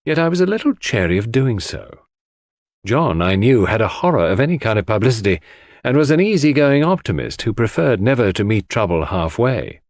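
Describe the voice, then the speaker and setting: A man's voice: mid-range voice, big pitch swings, a little fast, a little mellow, no echo, clean studio sound. A man narrating a first-person mystery audiobook in a dramatic storytelling manner.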